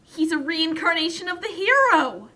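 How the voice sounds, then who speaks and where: Female co-commentator, very sharp high voice, excitable and halting, casual comic riffing, faint noise behind. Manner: excitable, halting, repeats and breaks off phrases.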